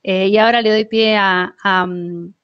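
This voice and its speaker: A woman's voice: medium pitch, steady tone, measured pace, clean tone, dull audio. A woman in her thirties with an Argentine accent, giving an informal presentation over a video call. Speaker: education programme presenter. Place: indoor room at home.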